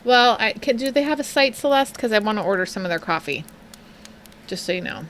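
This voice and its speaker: A woman in her thirties from Pennsylvania, co-hosting a casual live stream, chatty and quick to laugh as she reads out viewer comments. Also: fairly high pitch, expressive, a bit bright, slight background noise. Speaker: co-host of a live stream show.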